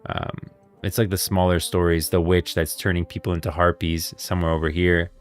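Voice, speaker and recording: A man's voice: low-pitched, level tone, fairly quick, clear, resonant tone. A man giving casual, enthusiastic livestream commentary on game lore, emphatic and opinionated. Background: background music.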